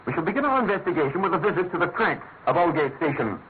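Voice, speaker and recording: An actor playing a brilliant, self-assured detective in a classic radio drama, with a crisp manner of speech. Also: male voice, a little high, big pitch swings, very fast. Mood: brisk, approving. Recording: noisy, tinny vintage radio recording.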